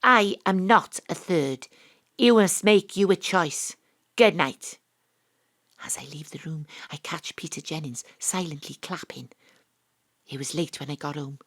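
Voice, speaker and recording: A woman's voice: low voice, unhurried, fairly bright tone. A woman playing a wry, principled young detective with a Welsh accent, narrating and acting in an audio drama. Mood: sharp, accusing.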